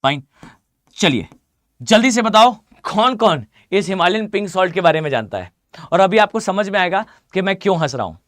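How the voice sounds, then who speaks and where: A hoarse man with an Indian accent teaching a live online class, often asking students to reply. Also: high-pitched, big pitch swings, normal speed, a bit bright, no echo, clean studio sound. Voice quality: mixes Hindi with English phrases. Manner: addresses students directly, asks them to reply.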